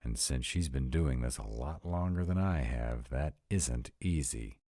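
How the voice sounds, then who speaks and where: A man's voice: very deep voice, lively intonation, a little slow, mellow, no room sound, heavily acted. A man voicing a sarcastic, exasperated vampire in a scripted roleplay audio, talking to his partner with dry, emphatic delivery. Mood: anxious, sardonic. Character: sarcastic male vampire, exasperated, anxious about in-laws.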